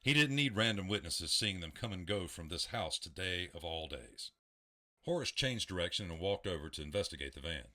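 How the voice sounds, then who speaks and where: Deep-voiced male audio drama narrator. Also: lively intonation, fairly quick, sharp tone.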